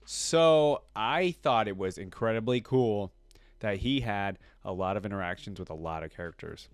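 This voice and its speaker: A man's voice: fairly low pitch, moderate pace, clean tone, no echo. A man hosting a reaction video, giving casual, conversational commentary that rambles with plenty of filler words.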